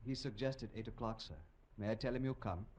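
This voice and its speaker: Low, deferential manservant on telephone. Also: male voice, brisk, darkish tone. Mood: formal, subdued. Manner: formal, discreet, polite. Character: reserved, deferential male servant. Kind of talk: old-time radio drama acting. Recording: noisy old radio phone call.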